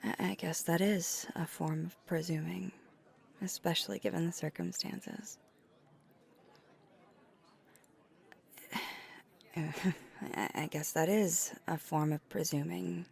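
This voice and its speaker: A woman playing a tender, flirty vampire in an ASMR roleplay, speaking in a breathy, often whispered voice with earnest, hesitant pauses. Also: sits low, steady tone, darkish tone, exaggerated voice. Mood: hesitant, sincere. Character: flirty, tender female vampire confessing to a date. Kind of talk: soft ASMR roleplay, intimate confession.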